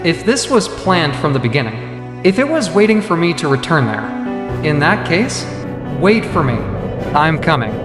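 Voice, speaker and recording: An actor playing a cool, self-assured young hunter hero, terse and brooding, in an anime-style dub. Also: male voice, on the high side, sing-song, normal speed, over loud music. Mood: brooding resolve.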